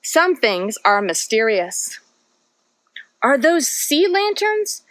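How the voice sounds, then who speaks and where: A woman's voice: high-pitched, sing-song, takes it slowly, a bit bright, exaggerated voice. A woman reading aloud as a curious, wide-eyed seagull from a picture book, full of questions. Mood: curious, puzzled.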